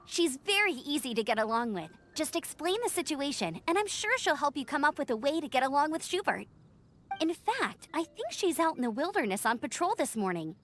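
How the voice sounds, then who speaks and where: A woman's voice: high-pitched, very animated, a little fast, a bit bright, a little hiss or hum. A woman playing a friendly, upbeat teenage knight scout in a video game, speaking in a bubbly, encouraging way. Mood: earnest, friendly. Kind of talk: scripted video game character acting.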